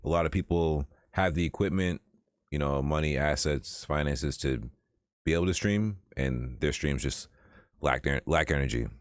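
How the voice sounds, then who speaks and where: A man's voice: extremely low pitch, moderate pace, no room sound, clean studio sound. A man hosting a podcast, talking in a laid-back, encouraging and slangy way. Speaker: podcast host. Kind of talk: casual chat.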